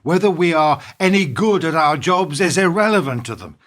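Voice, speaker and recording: A man acting in a radio play as a bitter, principled business partner, speaking in a measured, sardonic, needling way. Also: fairly high pitch, animated, a bit bright. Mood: sardonic, accusing.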